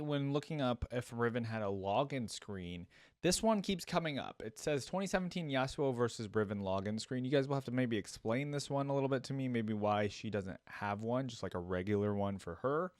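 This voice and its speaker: A man's voice: mid-range voice, dry recording, clean studio sound. A man giving casual, informal reaction commentary as a video host, thinking aloud with plenty of filler words. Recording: music playing behind the voice.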